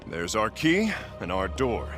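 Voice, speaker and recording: A man's voice: fairly low pitch, big pitch swings, a little slow. An actor playing a cool, rugged male adventurer pilot, laid-back and wry, in a video game cutscene. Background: loud music.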